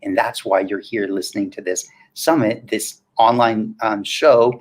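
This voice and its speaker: A man's voice: lowish voice, slightly rough, poor audio. A man in his fifties speaking as a coach and interview guest, casual and motivational, often posing questions and answering them himself. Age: 50s.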